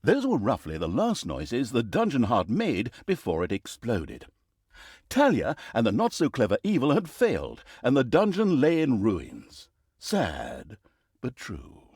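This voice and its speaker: A man's voice: medium pitch, huge pitch swings, a little slow, darkish tone, husky, no room sound, clean studio sound, heavily acted. A man playing a sardonic, vain storyteller who narrates a comic fantasy game saga in a wry, mock-grand theatrical manner. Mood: mock solemn. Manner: wry, mock-grand, dramatic emphasis and asides.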